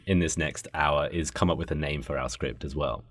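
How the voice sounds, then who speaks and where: A man's voice: extremely low pitch, brisk, no echo, studio quality. A man hosting a podcast, chatting casually and jokingly as he brainstorms with others. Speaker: podcast host. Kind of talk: casual comedic brainstorming chat.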